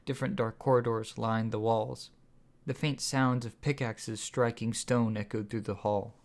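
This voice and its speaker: A man narrates a scripted story for an audiobook. His voice sits at a medium pitch as he reads his own writing. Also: level tone, a little slow, darkish tone. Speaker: author reading own audiobook.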